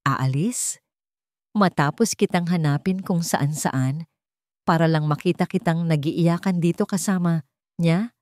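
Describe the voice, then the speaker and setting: A jealous, hot-tempered woman hurling accusations, as a woman narrator puts her on. The voice sits slightly low and sounds dry and close to the mic. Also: a little slow, long pauses, dry recording, lightly acted. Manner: accusing, sharp. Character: jealous, angry young woman.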